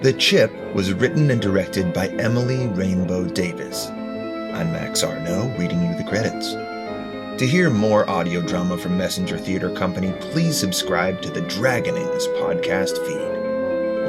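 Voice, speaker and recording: A man reading scripted credits as an announcer. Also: low voice. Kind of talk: scripted narration. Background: loud music.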